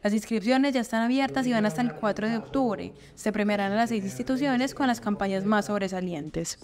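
A woman's voice: mid-range voice, fairly quick, crowd noise. A woman reading scripted news narration as a voice-over.

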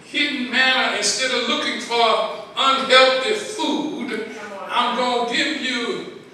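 Older Southern US pastor, very high voice, calls and responses, booming church hall heard from far off. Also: male voice, steady tone, bright, forceful tone, a little hiss or hum. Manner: preaching with call-and-response, drawn-out emphasis. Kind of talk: sermon. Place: large church sanctuary with congregation. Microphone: handheld mic. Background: congregation calling back.

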